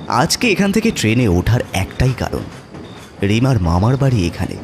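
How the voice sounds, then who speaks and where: A man's voice: mid-range voice, huge pitch swings, normal speed, background noise. A man narrating a scripted horror story as a dramatic storyteller.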